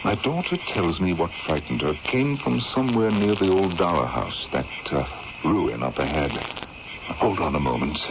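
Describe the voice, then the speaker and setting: Radio drama colonel: deep, rational, protective, measured authority; muffled vintage sound with clear hiss. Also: male voice, animated. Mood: calm, rational. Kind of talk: old-time radio drama acting. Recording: dull, noisy old radio drama recording.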